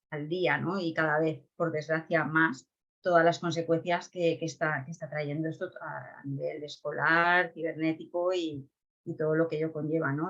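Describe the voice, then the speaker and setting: Low-voiced woman, hesitant panel guest. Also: fairly bright tone, dull audio. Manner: hesitant, many fillers and "¿no?" tags. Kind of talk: casual panel discussion.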